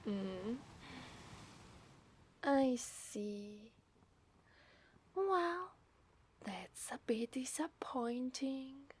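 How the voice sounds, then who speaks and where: Obsessive, seductive mermaid for ASMR drama, played by a woman: Italian accent, higher pitch, very slow teasing delivery. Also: expressive, darkish tone, breathy edge, heavily acted. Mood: disappointed, menacing. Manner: slow, teasing, lapsing into whispers. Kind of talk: ASMR roleplay voice acting.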